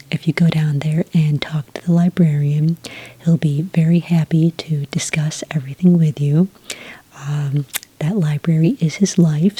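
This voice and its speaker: A friendly enrollment witch, played by a woman, whispers her explanations in a deep, breathy hush. Her tone is mellow and the sound is dry and close, with chatty little pauses and ums. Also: no echo, heavily acted, rough recording. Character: friendly adult female enrollment witch clerk. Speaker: ASMR roleplay host. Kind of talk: ASMR roleplay.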